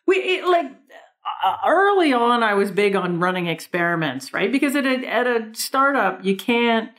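Lively Canadian woman guest. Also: fairly high pitch, normal speed. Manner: energetic storyteller, laughs often, quotes people in voices. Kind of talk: podcast interview.

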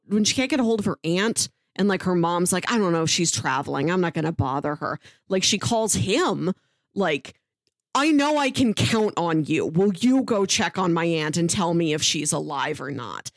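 Low-pitched woman chatting, dry sound. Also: animated, moderate pace, no room sound. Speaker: podcast co-host reviewing fiction. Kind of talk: casual review discussion.

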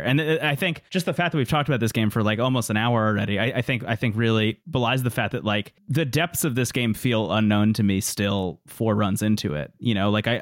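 Man, slightly low voice, dry close sound, podcast co-host, casual effusive game talk. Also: dry recording. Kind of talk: casual video game discussion.

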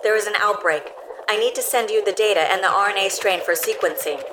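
A woman's voice: mid-range voice, a little fast, background noise, lightly acted. A woman playing an earnest, curious health robot in an audio drama, speaking in precise, formal sentences. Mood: urgent. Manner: precise, polite, formal wording.

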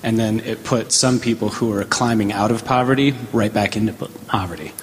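A man's voice: lowish voice, fairly quick. A man casually explaining a point to a group, colloquially and by example. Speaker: discussion participant. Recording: echoey hall, faint noise.

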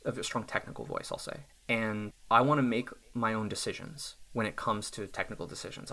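A man in his thirties, a self-employed software developer, talking casually and thoughtfully about his work, often restarting his sentences. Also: medium pitch. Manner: thoughtful, reflective, with restarts and small laughs. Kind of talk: casual podcast conversation.

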